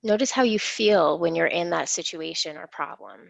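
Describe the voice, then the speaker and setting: A woman leading a guided imagery meditation in a soothing, unhurried, reassuring manner. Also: on the low side, vocal fry, no room sound. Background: gentle instrumental music.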